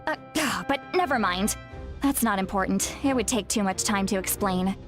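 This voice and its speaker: A woman playing a self-assured female oracle in a video game, speaking in a measured, formal, authoritative manner. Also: mid-range voice, faint music behind, lightly acted. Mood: matter-of-fact. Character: self-assured, solemn female oracle shaman, briskly dismissive. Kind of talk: video game character acting.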